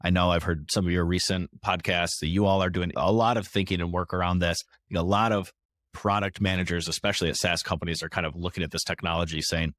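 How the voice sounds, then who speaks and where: Man, very low pitch, dry recording, relaxed interview host, tentative questions. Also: fast, no echo. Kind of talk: casual conversational interviewing.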